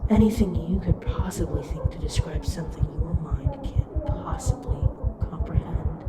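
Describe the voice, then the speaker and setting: An actor performs an ageless, disembodied captor spirit from an audio drama, cruelly curious and mocking. The delivery is slow and theatrical, savoring each word. The voice rings from a distance in an echoey space over a clear hum. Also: medium pitch, natural voice. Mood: eerie teasing. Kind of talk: audio roleplay, addressed to listener. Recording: echoey, distant, with humming noise.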